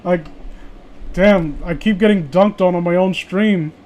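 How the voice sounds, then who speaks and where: A man with a notably high voice talks casually as a streamer commenting on what he reads. He stammers and restarts, sounding exasperated and swearing freely. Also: measured pace, a little mellow, muffled recording, slight background noise. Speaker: streamer reading creepypasta with friends. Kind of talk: casual commentary and banter on a read-aloud.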